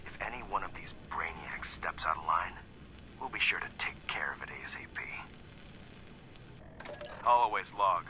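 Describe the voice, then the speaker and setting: A very deep, gruff security captain. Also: male voice, lively intonation, moderate pace, pauses often, bright, forceful tone, slight background noise, heavily acted, poor audio. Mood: sardonic, resigned. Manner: blunt, sardonic, folksy. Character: gruff, cynical male security captain, weary and distrustful. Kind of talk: scripted video game audio log.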